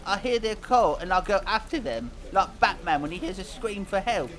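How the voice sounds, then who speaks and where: A man's voice: high-pitched, lively intonation, normal speed, fairly bright tone, low-quality recording. A man playing a dim, earnest young cartoon band singer who rambles hesitantly and naively through a scripted mock interview. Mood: naive, pleased.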